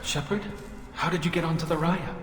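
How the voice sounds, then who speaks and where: A man voicing a haunted young male alien survivor in a video game, halting and timid. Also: fairly high pitch, steady tone, a bit bright, soft background music, close to an everyday voice. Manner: halting, timid, self-deprecating. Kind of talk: video game dialogue acting. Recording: echoey reverberant hall.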